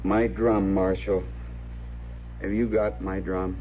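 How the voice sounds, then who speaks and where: A troubled, simple young frontier man, low and slow. He speaks haltingly and earnestly in a rustic way, as in a 1950s radio western. The recording is narrow and old, with faint noise. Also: flat delivery. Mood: earnest, trusting.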